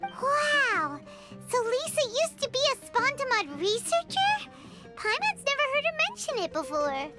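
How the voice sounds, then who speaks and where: A chirpy little fairy, with a very high, bouncing voice. She talks about herself in the third person, with game music loud behind her. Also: sing-song, measured pace, full character voice. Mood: surprised. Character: tiny floating female fairy companion, chirpy.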